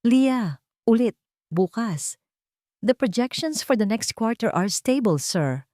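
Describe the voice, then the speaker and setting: A woman narrating a dramatic romance story in a soft, emotive way. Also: fairly high pitch, lively intonation, takes it slowly, no echo, clean studio sound.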